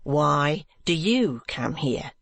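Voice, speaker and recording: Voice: mid-range voice, very animated, drawn-out words, creaky, a bit bright, no room sound, exaggerated voice. A reader voicing a severe, suspicious wife who speaks curtly and coldly. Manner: curt and rigid.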